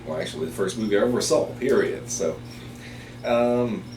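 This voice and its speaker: A middle-aged man from Taiwan, whose first language is Chinese, telling his story in an interview as a biology professor. He speaks unhurriedly, with many pauses and fillers. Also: on the low side, recorded from a distance. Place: office. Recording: roomy, off-mic, with background hiss.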